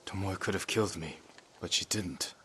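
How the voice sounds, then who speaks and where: Man as a low-voiced, stoic samurai warrior. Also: moderate pace, over loud music, lightly acted. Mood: firm. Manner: serious, restrained, clipped. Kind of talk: dramatic video game acting.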